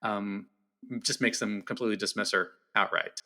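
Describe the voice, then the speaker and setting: A man speaking as a guest film critic in a casual discussion, halting and repeating words as he goes. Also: lowish voice, little pitch movement, bright, forceful tone.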